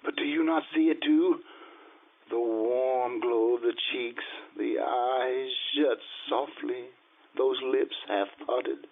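An actor playing a morbid, tormented aristocrat slipping into madness, brooding and intense in an old-time radio horror style. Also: male voice, mid-range voice, steady tone, takes it slowly, gravelly. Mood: morbid tenderness. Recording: muffled, band-limited radio recording.

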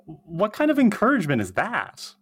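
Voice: mid-range voice, wildly swooping pitch, normal speed, fairly bright tone, breathy edge, full character voice. A performer reading an eager, earnest young woman who dabbles in witchcraft, chatty, in a script table read.

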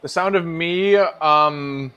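A man's voice: fairly high pitch, takes it slowly, clean tone, muffled recording. A man co-hosting a podcast in casual chat, swearing freely and restarting his phrases for emphasis. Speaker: podcast co-host. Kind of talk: casual chat about music.

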